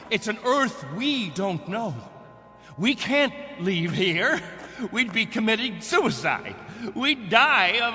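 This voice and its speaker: An actor playing a desperate, controlling captain of a stranded colony in a radio drama, preaching with emphatic fervor. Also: male voice, sits high, sharp tone, long reverb tail, exaggerated voice.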